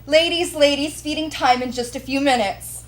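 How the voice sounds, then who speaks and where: A woman voicing a brisk, kindly hospital nurse in a story, with a hearty, folksy, encouraging manner. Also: high voice, lively intonation. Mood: bright, reassuring.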